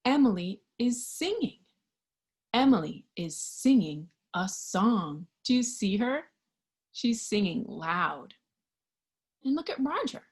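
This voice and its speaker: A woman reading a picture book aloud to young children in an expressive, child-directed storytime style. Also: medium pitch, takes it slowly. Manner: expressive, child-directed, with questions and sound effects.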